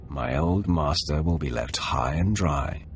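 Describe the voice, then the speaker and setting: Very deep, menacing Sith warrior. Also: male voice, expressive, unhurried, a little hiss or hum, full character voice. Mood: firm, controlled. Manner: terse, commanding. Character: menacing male Sith warrior, commanding and cold. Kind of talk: video game voice acting.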